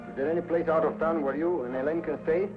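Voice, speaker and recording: Man acting a calm Western hero, tinny old soundtrack. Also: fairly high pitch, fast talker, close to an everyday voice. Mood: firm, cautioning. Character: heroic Western adventurer, calm, courteous, resolute. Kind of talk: 1950s television Western acting. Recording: tinny, band-limited 1950s TV soundtrack with music.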